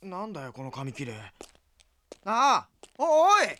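A woman voicing an excitable, flustered comic school bystander in an anime audio drama, blustery and exclamatory. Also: medium pitch, unhurried, slight background noise, exaggerated voice. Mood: bewildered.

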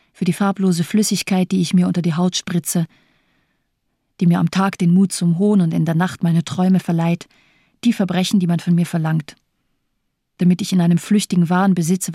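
A woman's voice: fairly low pitch, mellow, no room sound, clean studio sound. An actress playing a bitter, cynical doctor in her thirties in a radio drama, speaking in declamatory, rhetorical phrases. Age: 30s. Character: bitter, cynical morphine-addicted woman doctor of 34.

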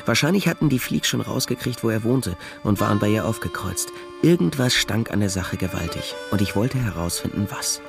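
A man's voice: lowish voice, vocal fry. An actor playing a worldly-wise male private detective in a German radio crime drama, speaking in a dry, colloquial, understated way. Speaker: lead actor and first-person narrator.

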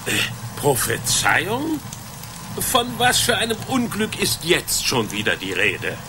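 An actor playing a simple, good-natured, baffled medieval blacksmith in a German radio play, hesitant and folksy. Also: male voice, a little high, wildly swooping pitch, slow pace, background noise, full character voice. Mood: baffled, sceptical.

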